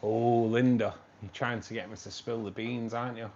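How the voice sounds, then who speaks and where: A man's voice: medium pitch, flat delivery, normal speed, a little mellow, muffled recording, slight background noise. A man hosting a live stream from a room with fish tanks, chatting casually and rambling, often repeating himself.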